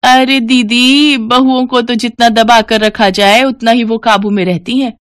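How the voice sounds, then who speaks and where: A woman's voice: fairly high pitch, steady tone, measured pace, clean tone, no room sound, studio quality, lightly acted. A woman voicing a bossy, scolding older rural aunt-in-law in a cartoon family story. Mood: bossy, cutting. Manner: complaining, domineering. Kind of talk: Hindi cartoon story voice acting.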